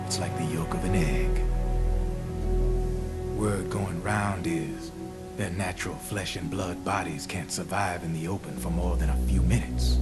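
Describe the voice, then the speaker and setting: An American actor playing a slick, talkative arms dealer in a video game cutscene, with streetwise, theatrical, drawn-out phrasing. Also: male voice, sits low. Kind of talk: video game cutscene acting, explanatory monologue. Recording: loud music over the voice.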